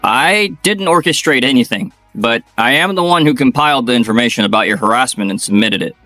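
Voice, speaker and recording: An actor playing a polite, earnest young office employee in a manga dub. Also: male voice, medium pitch, lively intonation, fairly quick, bright, forceful tone, soft background music.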